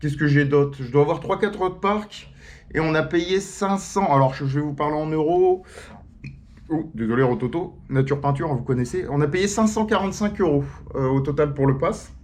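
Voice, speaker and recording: A man answering viewer questions in a casual video, slangy and joking, talking to his audience like friends and often jumping into a higher pitch for emphasis. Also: moderate pace, darkish tone. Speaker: theme-park YouTuber answering viewer questions. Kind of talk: casual chat, FAQ to camera.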